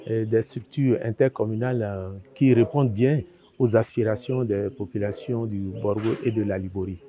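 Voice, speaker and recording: A man's voice: mid-range voice, mellow. A man gives a formal, hesitant interview statement as a project official.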